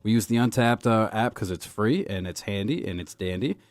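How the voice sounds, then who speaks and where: A man's voice: on the low side, brisk, no room sound, clean studio sound. A man co-hosting a beer radio show, chatting in a laid-back, slangy way. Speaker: radio co-host. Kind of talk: casual radio chat. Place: radio studio.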